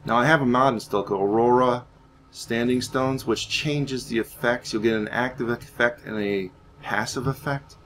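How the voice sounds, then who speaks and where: A man's voice: medium pitch, fairly even intonation, normal speed, fairly bright tone. A man giving casual gameplay commentary, rambling and thinking aloud as he goes. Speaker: gaming channel host. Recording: faint background noise.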